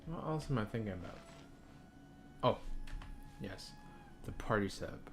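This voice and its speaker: A man's voice: mid-range voice, huge pitch swings, unhurried, music in the background. A man giving casual gameplay commentary as a streamer, thinking aloud in short remarks. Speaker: game streamer. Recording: clearly noisy, clicking sounds.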